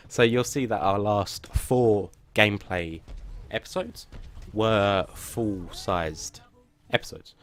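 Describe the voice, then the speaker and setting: A man hosting a gaming channel, giving a casual update to his viewers in a rambling, hesitant way with many restarts. He is self-deprecating and says his own voice is flat and plain. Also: lowish voice.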